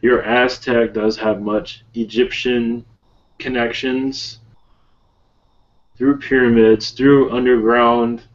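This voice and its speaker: Guy channeling an ancient spirit guide, real slow halting solemn talk, muffled webinar audio. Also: medium pitch, level tone, lightly acted. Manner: halting, measured, broken phrasing with odd syntax. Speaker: channeler leading a webinar session. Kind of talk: slow improvised channeled speech.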